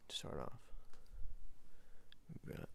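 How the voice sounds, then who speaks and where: A man doing an ASMR roleplay as a hesitant magic ring salesman, speaking in a breathy, creaky half-whisper and often repeating himself. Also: takes it slowly, pushed and bright, a little hiss or hum. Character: shifty, hesitant seller of magic rings. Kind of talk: ASMR roleplay, soft improvised salesman patter.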